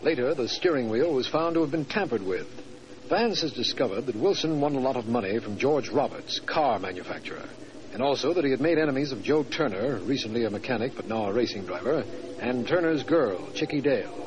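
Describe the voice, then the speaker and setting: An actor playing a businesslike district attorney, giving formal narration in a 1940s radio drama. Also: male voice, medium pitch, expressive, a little fast, natural voice. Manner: formal, expository. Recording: noisy vintage 1940s radio recording.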